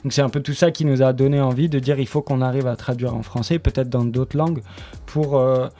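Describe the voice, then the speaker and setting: A man being interviewed on radio as a drama writer, explaining his work in a halting, unscripted way. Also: mid-range voice, fairly even intonation, dark tone, soft background music. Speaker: guest, radio drama writer and studio member.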